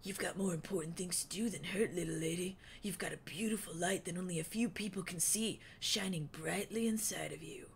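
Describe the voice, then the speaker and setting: A woman doing a hushed, wistful wandering man. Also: lowish voice, a little fast, vocal fry, few pauses, slight background noise. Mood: gentle, wistful. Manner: soft, hushed, drawling delivery. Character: kindly eccentric wandering man, sad and wise.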